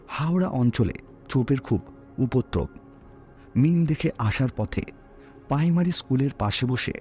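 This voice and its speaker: A man telling a scripted horror story in a dramatic, suspenseful way with deliberate pauses, as a storyteller. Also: medium pitch, dark tone. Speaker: storyteller on a ghost story show. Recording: music bed under the voice. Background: music.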